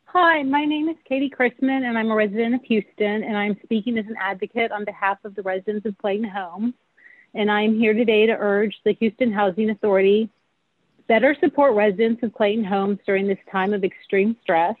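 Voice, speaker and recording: A woman advocate calling in to read a measured, prepared appeal at a public meeting. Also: medium pitch, fairly even intonation, no room sound. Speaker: advocate caller. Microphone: phone call. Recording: tinny phone line.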